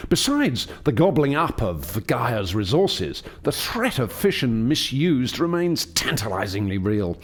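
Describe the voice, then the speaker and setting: A man acting a formal, animated lead scientist. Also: mid-range voice. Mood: grave, concerned. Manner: formal, measured, lecturing. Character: Doctor Foster, authoritative male alien scientist leading a lab. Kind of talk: radio play acting.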